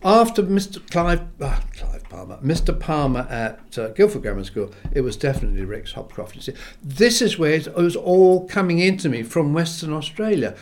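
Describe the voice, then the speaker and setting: An older man, born in England, reminiscing in an interview as a guest composer, rambling and animated, acting out quoted voices. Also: on the high side, normal speed, few pauses.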